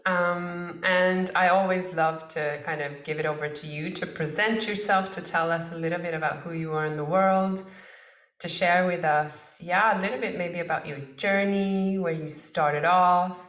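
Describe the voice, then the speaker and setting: A woman's voice: fairly low pitch, steady tone, moderate pace, fairly bright tone. A woman hosting an interview in an informal, enthusiastic, conversational way. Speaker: host of an online interview series. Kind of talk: casual, conversational interview introduction. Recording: roomy, echoing voice.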